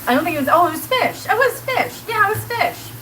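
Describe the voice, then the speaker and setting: A woman's voice: very high-pitched, very animated, fairly quick. Rambling South Kansas woman over a sizzling pan. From Colorado. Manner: chatty, rambling, heavy use of "like", answers chat aloud. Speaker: livestreamer. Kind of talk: casual live-stream chat while cooking. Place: home kitchen. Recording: sizzling pan noise behind.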